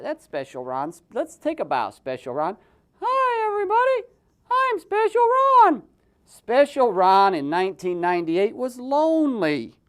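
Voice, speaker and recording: Theatrical man with very lively intonation. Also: high-pitched. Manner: mocking, theatrical, improvised. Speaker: host and puppeteer. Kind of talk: comic storytelling with puppets.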